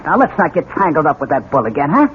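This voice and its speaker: An actor playing a brusque, sardonic American police lieutenant, an exasperated boss, in a 1970s radio drama. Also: male voice, fairly high pitch, big pitch swings, fast talker. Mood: sarcastic, exasperated. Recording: muffled old radio recording with hiss.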